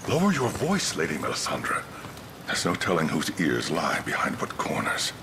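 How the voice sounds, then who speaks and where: A man playing a stern, loyal retainer in a video game, speaking formally and with measured reproof. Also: extremely low pitch, wildly swooping pitch, noisy background, exaggerated voice. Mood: reproving. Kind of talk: video game cutscene acting. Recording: loud game music behind. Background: game music.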